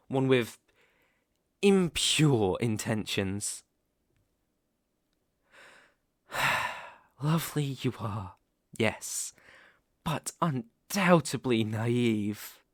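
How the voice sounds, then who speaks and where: Slow, sinister British male werewolf, acted by a man. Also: medium pitch, expressive, no room sound, studio quality. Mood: sly reassurance. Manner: theatrical, slow and teasing, drops into whispers. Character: sinister, smooth-talking male werewolf luring a stranger. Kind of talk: ASMR roleplay audio acting.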